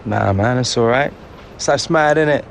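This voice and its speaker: An actor playing a confident, easygoing young English footballer in a game. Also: male voice, mid-range voice, moderate pace, creaky, lightly acted. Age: young adult. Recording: game cutscene under loud music, city noise.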